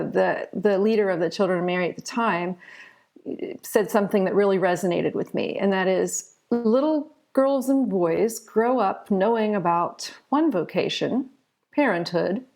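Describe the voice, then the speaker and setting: American woman with a creaky voice. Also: mid-range voice, darkish tone. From United States. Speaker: interview guest, children's author. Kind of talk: conversational interview storytelling.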